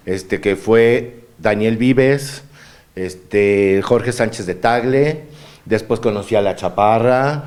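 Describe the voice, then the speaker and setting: A man's voice: lowish voice, a little mellow. A man in his fifties with a Mexican Spanish accent, a guest telling stories in a campy, playful way. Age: 50s. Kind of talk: casual chat.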